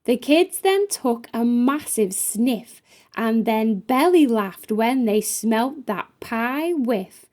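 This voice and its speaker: A woman's voice: fairly high pitch, expressive, slow, darkish tone. A woman reading a rhyming children's picture book aloud as a storytime narrator. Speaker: storytime reader.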